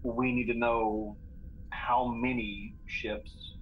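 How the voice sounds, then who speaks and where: A man's voice: medium pitch, level tone, extremely slow. A man thinking aloud in casual chat as a player in a tabletop role-playing game, a little tentative. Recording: dull lo-fi audio, clear background noise.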